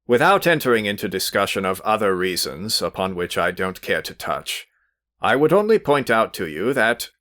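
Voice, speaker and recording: A man doing a low, grumbling annoyed gentleman. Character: weary, annoyed grown man, dry.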